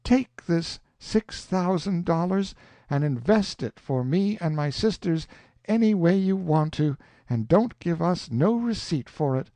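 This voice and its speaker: A man's voice: a little high, animated, measured pace, dark tone, no room sound, pitched above the performer's own voice. A man voicing a proud, firm young woman who speaks with dignity. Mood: defiant.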